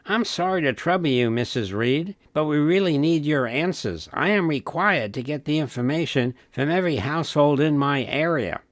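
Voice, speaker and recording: A man's voice: fairly high pitch, lively intonation, normal speed, hardly any pauses, no echo, studio quality, voice pushed up, lightly acted. A man playing a courteous, patient census enumerator, explaining formally in a scripted training-film role play. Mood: polite, earnest.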